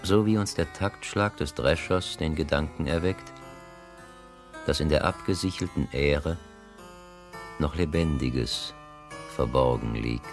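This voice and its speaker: An actor narrating a literary radio play as a solemn, reflective storyteller with a measured, elegiac delivery. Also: male voice, very deep voice, music in the background. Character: solemn, reflective male narrator of a classic novel.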